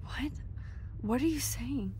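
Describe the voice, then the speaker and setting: An actress playing a tender, earnest young American woman in a video game, a devoted, anxious friend who speaks softly and hesitantly. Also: high voice, animated, slow pace, a little hiss or hum, rough recording. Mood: shaken. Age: young adult. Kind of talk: naturalistic video game cutscene acting.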